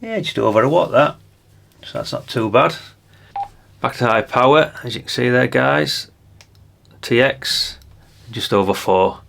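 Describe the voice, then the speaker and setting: A man's voice: medium pitch, expressive, unhurried, gravelly, long pauses, a bit bright. An older man giving a casual hands-on product demonstration, chatty and unscripted, thinking aloud as he goes. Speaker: presenter of a radio gadget review channel.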